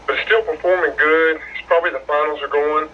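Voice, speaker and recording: A man's voice: a little high, steady tone, quick pace, phone-quality sound, a little hiss or hum. A man hosting a hobby channel, giving a casual, plain-spoken review in informal language. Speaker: host of a radio hobby channel.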